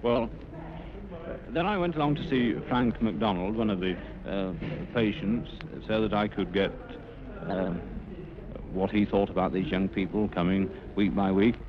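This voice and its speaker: A man's voice: lowish voice, normal speed, crowd noise. A man interviewing and reporting hesitantly for 1950s radio. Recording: muffled 1950s recording, background voices.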